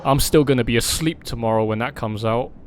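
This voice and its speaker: A man's voice: medium pitch, fairly quick, noisy background. A man chatting casually on a game livestream, blunt and mocking, swearing often. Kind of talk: casual livestream chat with gaming commentary.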